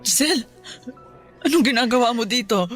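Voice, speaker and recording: A woman's voice: fairly high pitch, lively intonation, normal speed, faint music behind, lightly acted. An actress playing a grieving middle-aged mother in a Tagalog radio drama, tearful and accusing as she lashes out. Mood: cold, scornful. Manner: tearful, accusing, shouting outbursts. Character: grieving, furious middle-aged mother blaming a young woman.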